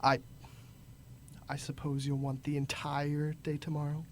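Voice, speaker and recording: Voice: medium pitch, monotone, measured pace, a little hiss or hum, close to an everyday voice. An actor playing a grumpy, miserly old businessman in a radio drama, scornful, curt and barking. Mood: grudging.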